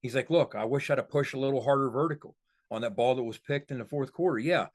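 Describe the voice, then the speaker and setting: A man's voice: medium pitch, quick pace, dry recording, studio quality. A man co-hosting a podcast as a football analyst, talking through his points conversationally and emphatically.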